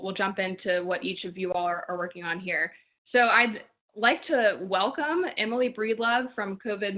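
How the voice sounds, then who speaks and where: Female moderator, virtual panel host, lo-fi call audio, mid-range voice. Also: medium pitch. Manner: smooth and organized, with frequent "um"s. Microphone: video call.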